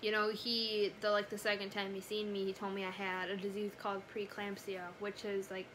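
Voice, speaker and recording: A woman's voice: mid-range voice, monotone, brisk, noisy background. A young woman telling a personal story casually to camera, with lots of "like" and "you know" in her talk. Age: young adult. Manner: conversational, frequent "like" and "you know". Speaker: family vlogger.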